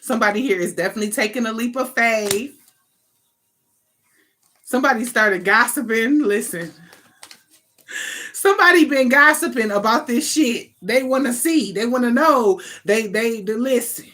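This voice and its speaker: A woman's voice: a little high, moderate pace, pauses often, bright, forceful tone. Emphatic American woman reading tarot. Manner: emphatic, slangy, conversational, swears casually. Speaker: tarot reader, online channel host. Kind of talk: informal improvised tarot reading.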